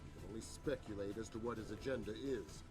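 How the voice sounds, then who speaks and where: An actor playing a measured intelligence chief who briefs the president in a game cutscene. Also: male voice, fairly low pitch, lightly acted. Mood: grave. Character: calm measured male intelligence chief, middle-aged. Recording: game soundtrack with clear music.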